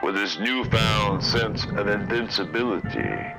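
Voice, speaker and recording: A man's voice: lowish voice, expressive, unhurried, creaky, poor audio. A man narrating a scripted fantasy tale in a theatrical, portentous radio drama manner. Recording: music under the voice. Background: prominent music.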